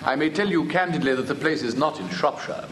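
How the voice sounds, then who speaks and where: An actor playing an indignant, earnest and evasive young English gentleman with an upper-class accent and lofty, clipped diction in a classic stage comedy. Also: a little high, a little fast, natural voice. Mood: lofty explaining. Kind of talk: classic stage comedy audio drama, old-fashioned acting. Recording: old recording with faint hiss.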